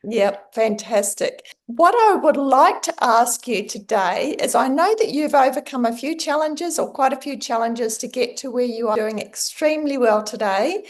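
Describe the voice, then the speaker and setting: A woman hosting an interview podcast, asking her guest questions in an encouraging way. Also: a little high. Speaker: podcast host and coach. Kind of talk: interview host, scripted intro.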